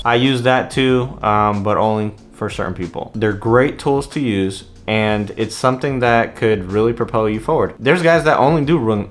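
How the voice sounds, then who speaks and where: A man hosting a casual vlog, explaining things informally and stressing his key points. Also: medium pitch. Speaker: vlog host, real estate investor. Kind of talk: casual vlog talk to camera.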